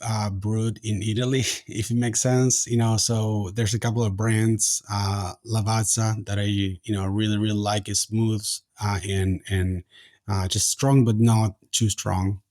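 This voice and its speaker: Low-voiced man from Argentina, hesitant. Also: flat delivery, measured pace, a little mellow, dry recording, clean studio sound. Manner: hesitant, many fillers and restarts. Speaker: podcast guest, company founder. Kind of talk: casual interview answers.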